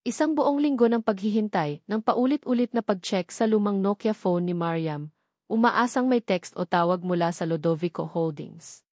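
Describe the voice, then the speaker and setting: A woman's voice: medium pitch, normal speed, a little mellow, no echo. Filipino woman narrating a story, dry sound. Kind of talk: scripted dramatic storytelling narration.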